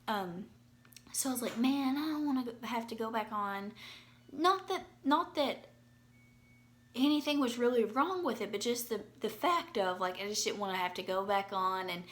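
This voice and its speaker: A young woman with a hoarse voice from being sick, talking casually into her phone in a rambling personal update with many ums and restarts. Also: on the high side, moderate pace. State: hoarse from illness. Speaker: vlogger. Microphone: phone propped up.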